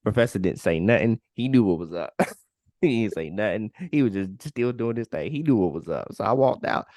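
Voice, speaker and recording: Deep-voiced young man, casual podcast chat. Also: dry recording, clean studio sound. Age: 20s. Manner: relaxed and candid, drawn-out storytelling with filler words. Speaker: podcast co-host.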